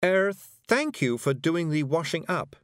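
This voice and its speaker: Brief: prim, slow-spoken older lady witch, voiced by a man, raised pitch, measured and genteel. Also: sing-song, no room sound, clean studio sound. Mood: polite.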